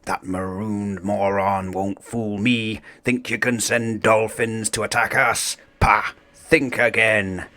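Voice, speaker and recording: A man's voice: lowish voice, steady tone, slow, bright, forceful tone. A man voicing a pompous, suspicious pirate admiral with melodramatic delivery in a children's storybook. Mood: suspicious, scornful.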